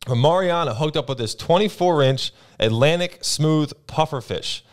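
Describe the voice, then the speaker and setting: Smooth-voiced Jersey man telling fishing stories. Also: a little high, moderate pace. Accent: New Jersey. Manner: relaxed storytelling with many uh and um. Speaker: fishing report co-host. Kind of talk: casual fishing report chat. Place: small studio with brick wall, indoors. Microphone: boom mic on arm, headphones.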